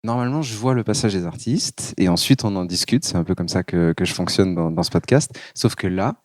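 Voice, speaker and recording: A man hosting a podcast, asking a comedian about her set in a relaxed, hesitant way. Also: sits low, brisk, no room sound, clean studio sound. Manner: relaxed, hesitant, many fillers. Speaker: podcast host. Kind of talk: casual podcast interview.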